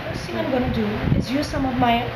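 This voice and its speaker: A hoarse woman from Trinidad giving a calm step-by-step makeup tutorial. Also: high voice, fast. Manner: calm step-by-step instructions, soft fillers. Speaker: beauty vlogger. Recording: echoey room with loud background noise.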